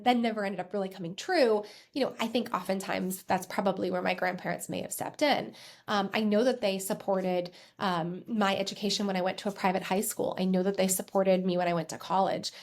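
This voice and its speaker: An American woman in her thirties hosting a podcast, telling a personal story in a conversational, self-reflective way with emphatic repetitions. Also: mid-range voice. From United States. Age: 30s. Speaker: podcast host and accountant.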